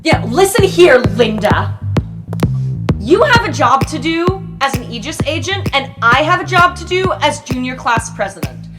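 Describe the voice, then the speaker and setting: Woman playing a high-voiced, insistent teenage class president. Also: very animated, moderate pace, over loud music. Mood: indignant, firm. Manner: prim, insistent. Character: earnest, assertive teenage girl, student class co-president.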